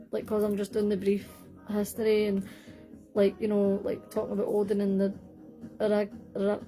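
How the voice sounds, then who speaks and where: Chatty Scottish woman, mellow voice. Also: mid-range voice. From Scotland. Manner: chatty, rambling, many fillers and self-corrections, laughs easily. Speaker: livestream host. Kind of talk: casual livestream chat reading viewer comments. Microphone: phone.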